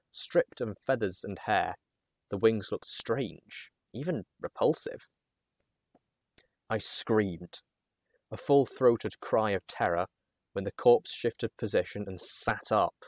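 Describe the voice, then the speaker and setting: Low-voiced man narrating a story, close and dry. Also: no echo. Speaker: narrator reading a story aloud. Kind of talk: expressive first-person audiobook narration.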